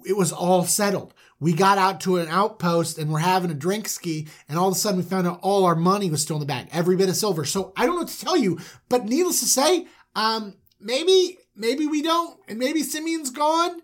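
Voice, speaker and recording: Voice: medium pitch, expressive, fairly quick, few pauses, pitched above the performer's own voice, lightly acted. A performer voicing a flustered, pleading grown son who rambles hesitantly in slang to his father in a comic Bible retelling.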